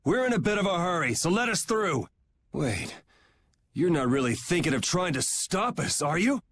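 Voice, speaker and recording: A man's voice: a little high, moderate pace. An actor playing a cocky young swordsman who talks bluntly and wryly. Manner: blunt, wry, lively. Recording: game audio with music.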